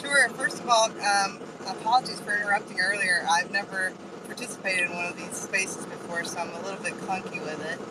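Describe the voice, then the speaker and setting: A woman's voice: mid-range voice, steady tone, brisk. A woman in her forties, a truck driver, introducing herself in a casual audio chat in a candid, self-deprecating way with many ums while driving. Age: 40s. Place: truck cab on the road. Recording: distant mic with loud road noise.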